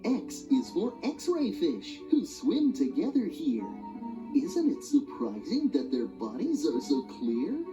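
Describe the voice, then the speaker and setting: Slow, sing-song woman reading rhymes. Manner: sing-song, highly expressive rhyming delivery. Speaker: storybook reader. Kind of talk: children's read-along narration. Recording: dull lo-fi audio with music behind.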